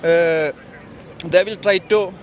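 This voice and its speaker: High-voiced, insistent young Indian man. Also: steady tone, extremely slow, slight background noise. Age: young adult. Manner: insistent, repeats himself. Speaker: interviewed visitor. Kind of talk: casual opinionated street interview answer. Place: busy outdoor exhibition plaza. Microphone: handheld reporter microphone.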